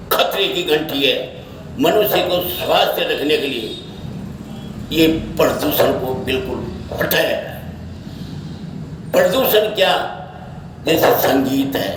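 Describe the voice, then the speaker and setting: A man speaks slowly in a very echoey space, some way from the microphone. He delivers an off-the-cuff monologue in short, broken phrases, circling back to repeat his words. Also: medium pitch, a bit bright. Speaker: social media speaker giving his opinion. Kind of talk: unscripted opinion monologue. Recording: echoey, distant mic, faint noise.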